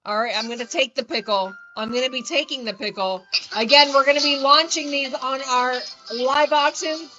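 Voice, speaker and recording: A woman's voice: high voice, moderate pace, few pauses, sharp tone, dull audio. Wry, teasing woman co-host. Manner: wry, teasing, laughs often. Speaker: YouTube channel co-host. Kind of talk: casual on-camera banter.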